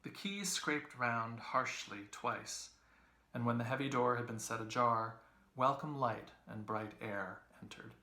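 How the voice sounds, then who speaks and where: A man narrates a novel with deliberate, expressive phrasing. His voice is bright and gravelly at the edges, at a middling pitch, in a roomy space. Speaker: reader, English professor. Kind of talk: literary audiobook narration.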